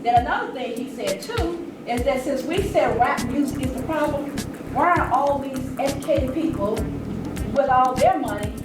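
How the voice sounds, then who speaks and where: A woman's voice: high-pitched, animated, normal speed. Emphatic woman in echoey room over music. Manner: emphatic, rhetorical questioning, repeats phrases for stress. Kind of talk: informal group discussion. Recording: echoey room, distant mic, loud music.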